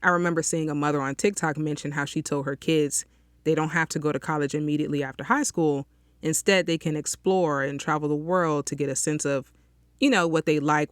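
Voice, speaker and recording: A woman's voice: low-pitched, steady tone, brisk, no echo, clean studio sound. A young woman hosting her own podcast, chatting on her own from a small closet and often checking in with listeners with an "okay?". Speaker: podcast host. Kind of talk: casual solo podcast monologue. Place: small closet, outdoor sounds leaking in.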